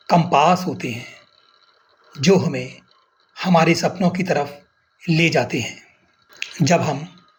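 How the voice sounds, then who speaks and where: Indian man, guided meditation, fairly high voice, very unhurried pace, measured short phrases.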